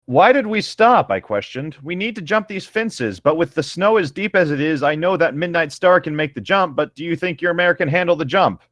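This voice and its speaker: A man's voice: a little high, expressive, moderate pace, hardly any pauses, fairly bright tone, no echo, clean studio sound. A man casually joking and reading bits of a story aloud with sarcasm. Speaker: co-host reading and riffing on a story.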